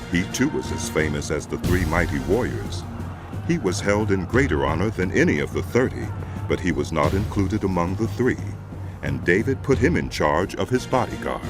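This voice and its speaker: A man narrating a dramatized audio Bible in a solemn, measured, scripted storytelling style. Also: fairly low pitch. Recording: music behind the voice. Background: background music.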